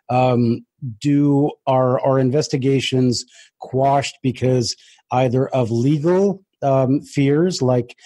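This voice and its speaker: A man's voice: mid-range voice, mellow, no echo. A man hosting an interview, putting questions and comments to his guest in a conversational style. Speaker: interview host.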